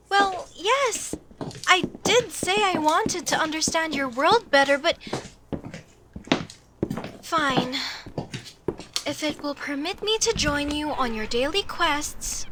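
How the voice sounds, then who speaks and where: A woman's voice: high voice, background noise. A woman voicing a young elf mage girl in a comedic audio roleplay, speaking formally with a questioning, indignant and easily flustered manner. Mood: stubborn, reasoning.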